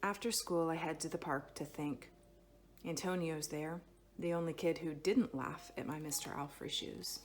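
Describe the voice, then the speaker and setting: A woman with a slightly low voice reads a children's picture book aloud. She phrases it dramatically, shaping words for young listeners. Light background noise sits behind her voice. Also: steady tone, normal speed. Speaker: storybook reader.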